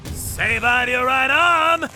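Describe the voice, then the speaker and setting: An actor playing a ruthless vigilante torturer in a dubbed comic story, cold, menacing and mocking. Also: male voice, very high-pitched, slow pace, bright, forceful tone, full character voice. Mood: mocking, aggressive. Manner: menacing, taunting. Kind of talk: dramatic first-person narration and acted fight dialogue. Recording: music behind the voice.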